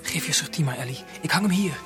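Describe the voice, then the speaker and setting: A man acts out a well-informed gentleman in a period radio drama. He speaks politely, in an attentive and explanatory way. Loud music plays behind his voice. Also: on the high side, animated, quick pace, natural voice. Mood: informative. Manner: courteous, explanatory.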